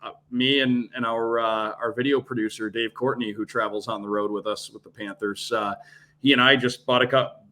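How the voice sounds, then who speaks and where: Bright-voiced man chatting casually. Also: mid-range voice. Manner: relaxed, rambling, many restarts and fillers. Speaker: radio play-by-play announcer, guest. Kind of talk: casual podcast chat.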